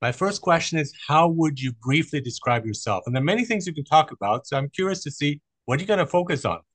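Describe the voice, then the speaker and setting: A man's voice: a little high, animated, quick pace, a bit bright, no room sound. A man hosting a podcast interview, asking questions and affirming his guest in a reflective, friendly way. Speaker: podcast host. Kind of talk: casual interview.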